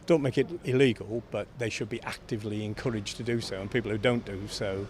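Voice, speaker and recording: Low-voiced man giving casual opinions. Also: fairly even intonation, quick pace, darkish tone, slight background noise. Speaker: member of the public interviewed. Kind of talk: street interview opinion, casual.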